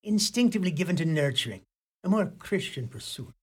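A man's voice: high voice, expressive, a little fast, husky. A male actor gives us a fire-and-brimstone Irish village priest for a radio play. He is stern and pompous, and he preaches in ornate, ominous phrases with an Irish accent. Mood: pious, reproving.

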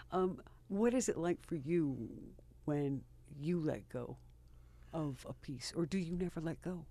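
Mellow higher-pitched male radio host. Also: expressive, takes it slowly. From Rochester, New York. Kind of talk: interviewing guests.

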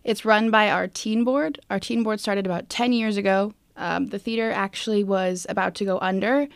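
A woman's voice: medium pitch, steady tone, normal speed, gravelly, dry recording, studio quality. A woman being interviewed, giving casual, plainspoken explanations as a guest. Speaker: interview guest, theater youth board leader.

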